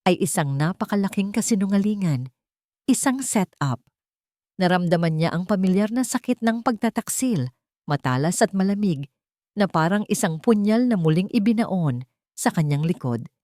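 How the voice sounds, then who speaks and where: A woman reading dramatic scripted story narration in a measured way, with short weighted phrases. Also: fairly low pitch, darkish tone, no echo, studio quality.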